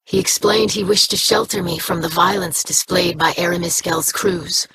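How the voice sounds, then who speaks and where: A woman performs a brave, earnest young alien woman from a sci-fi game, her voice pitched fairly low and her speech formal. A clear layer of noise runs under the game audio. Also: steady tone, moderate pace, natural voice. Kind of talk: video game dialogue.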